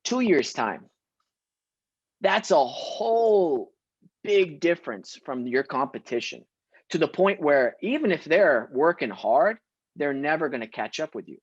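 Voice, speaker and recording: Young adult male guest, emphatic and animated, mid pitch, dry slightly muffled audio. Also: unhurried, breathy edge, dry recording. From Syria. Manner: animated, emphatic, laughs often. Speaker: guest actor. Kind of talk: casual interview chat.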